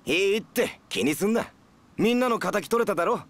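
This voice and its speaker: Hot-headed, high-strung young fighter. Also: male voice, animated, moderate pace. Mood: gruff, alarmed. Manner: rough casual speech, shouting. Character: hot-headed brawny young male fighter, loyal and gruff. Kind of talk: video game cutscene voice acting. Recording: loud music over the voice.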